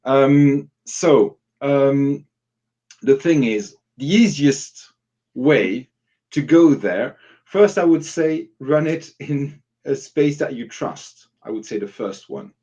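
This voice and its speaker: Man explaining workshop tips, bright-toned yet lo-fi. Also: medium pitch, expressive, takes it slowly. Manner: explanatory, illustrates with acted-out example questions. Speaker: workshop facilitator giving advice. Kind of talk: informal live online discussion.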